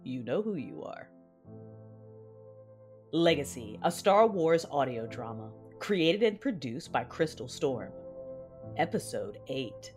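A woman announces a serialized drama podcast from a script. Her voice is low yet very expressive in its rise and fall, with music playing behind her. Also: slow pace, long pauses.

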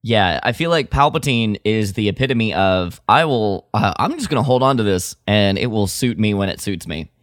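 A man with a lowish voice co-hosts a podcast in casual conversation. The sound is dry and close, with little room in it. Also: expressive, moderate pace, hardly any pauses, dry recording. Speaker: podcast co-host. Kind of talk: casual chat.

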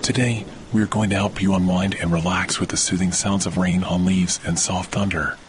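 A man reading a scripted guided sleep meditation as a relaxation podcast host, in a soothing, measured delivery. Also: low voice, steady tone, a little hiss or hum. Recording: loud rain and thunder sound bed. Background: rain on leaves, soft thunder.

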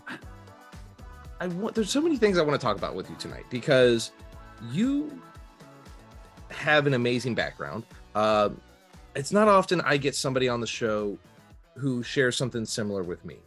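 Male podcast host, very lively pitch. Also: normal speed, long pauses, soft background music. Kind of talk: casual interview chat.

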